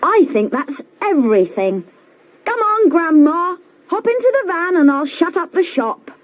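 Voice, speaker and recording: A woman voicing a cheerful, busy butcher and family dad in a children's story, brisk and organising, on a noisy recording. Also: very high voice, sing-song, muffled recording, pitched above the performer's own voice, heavily acted. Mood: brisk, jolly.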